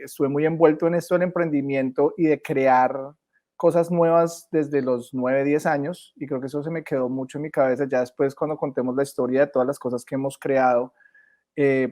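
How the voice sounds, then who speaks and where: A man in his late thirties from Bogotá, Colombia, telling his story in an interview at an unhurried pace, pausing often on "eh". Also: medium pitch, steady tone, mellow. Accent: Bogotá Colombian Spanish. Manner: unhurried, reflective, with frequent "eh" fillers. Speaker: guest.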